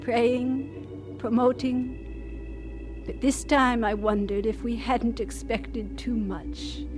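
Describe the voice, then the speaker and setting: High-voiced earnest missionary woman. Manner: earnest, measured, with deliberate pauses. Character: Ida Scudder, earnest devout woman missionary doctor telling her story.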